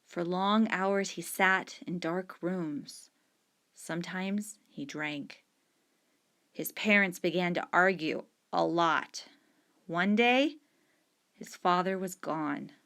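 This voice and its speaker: A woman reading a short story aloud in a steady, unhurried storytelling manner. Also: lowish voice. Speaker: story reader.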